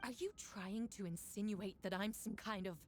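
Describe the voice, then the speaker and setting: An actress playing an indignant, accusatory woman knight captain in a game, forceful and dramatic. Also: mid-range voice, steady tone, normal speed, lightly acted. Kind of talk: English game voice acting.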